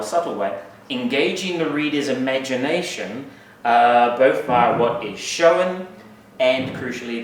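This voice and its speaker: A man's voice: medium pitch, slight background noise. A man from New Zealand with a New Zealand accent giving a university lecture, dry and wry with asides and frequent fillers. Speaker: guest speaker. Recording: echoey hall, mic at a distance.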